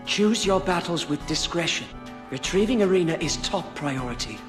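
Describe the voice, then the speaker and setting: A man plays a young game lord with a rather high, formal voice and measured authority. He sounds cautious and commanding, with long hall reverb around him and music underneath. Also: lively intonation, natural voice. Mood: grave, firm. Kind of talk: video game cutscene acting.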